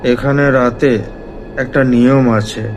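A man's voice: medium pitch, steady tone, slow, noisy background. A man narrating a scripted village ghost story as a horror storyteller.

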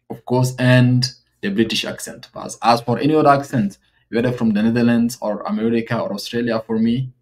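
A man's voice: medium pitch, a little mellow. Young African man giving earnest reaction remarks. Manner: earnest, wondering, chuckling. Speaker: reaction video host. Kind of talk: casual reaction commentary. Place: small room indoors.

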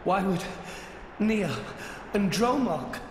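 An actor voicing an earnest, frightened teenage boy adventurer in a game, halting and pleading, in an echoey recording with music behind. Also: high-pitched, expressive, slight background noise. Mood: shocked, distressed. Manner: halting, stammering.

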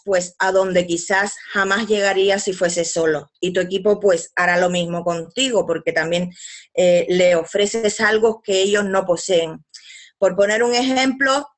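A woman walks through slides in an online business seminar, her pitch sitting in a middle range. She talks in halting stretches, falls back on fillers and restarts her phrases. Her voice comes through a webcam mic on a video call. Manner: hesitant, fills pauses with "pues" and restarts. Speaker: webinar co-presenter.

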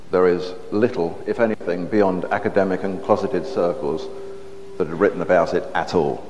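A middle-aged man giving a public lecture on a lapel mic, talking in a measured way with frequent restarts and repeated words. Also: mid-range voice, a little mellow. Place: stage with curtain backdrop, indoors. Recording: dull lo-fi hall recording with faint hiss.

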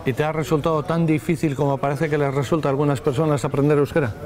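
A middle-aged male host asking interview questions in a quick, playful way, recorded outdoors with a lapel mic. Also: on the high side, fairly even intonation, darkish tone.

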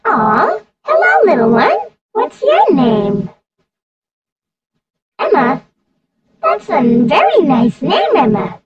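A woman voicing an energetic little animatronic bunny girl, a cutesy, singsong cartoon daycare host with a menacing side. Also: high voice, slow pace, long pauses, highs cut off, full character voice. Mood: sweet, nurturing. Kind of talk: character voice acting demo lines. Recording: echoey hall, distant from the mic.

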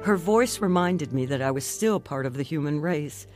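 An earnest young American stroke survivor. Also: female voice, low voice, lively intonation, normal speed, over music. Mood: reflective. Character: young married stroke survivor telling her story, earnest and reflective. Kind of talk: dramatized first-person testimony, radio drama narration.

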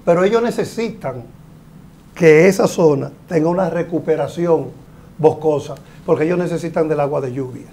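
Man, middle-aged, slightly high voice, technical and emphatic, TV studio guest, lapel mic. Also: warm tone. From Dominican Republic. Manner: explanatory, emphatic, gestures with hands. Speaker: hydraulic engineer guest. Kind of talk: TV studio interview.

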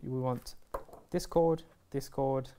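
A man's voice: mid-range voice, steady tone, takes it slowly, warm tone, a little hiss or hum. Easygoing young man giving a tutorial. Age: 20s. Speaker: product reviewer and presenter. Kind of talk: casual step-by-step tutorial. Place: indoor shop video studio, standing at a table.

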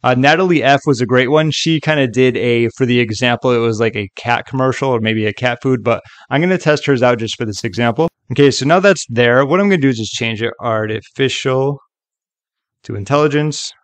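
A man narrating a software tutorial in a casual, conversational way with chatty asides. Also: medium pitch, brisk, no room sound.

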